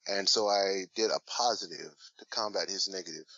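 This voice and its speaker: A low-pitched man chats casually as a guest, rambling along with plenty of ums and uhs. His voice sounds forceful and bright, recorded dry and close. The sound is a little dull and lo-fi. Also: normal speed, no echo. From Washington State, USA. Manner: rambling, hesitant with many fillers. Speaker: guest, hip hop artist. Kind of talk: casual interview talk.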